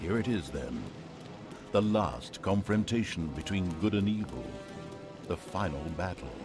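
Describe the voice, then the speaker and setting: An actor voicing a sardonic, self-mocking storybook narrator of a fantasy video game, with pompous theatrical delivery. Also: male voice, low-pitched, expressive, a little slow, over music. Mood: mock-epic. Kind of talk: dramatic scripted fantasy narration with tongue in cheek.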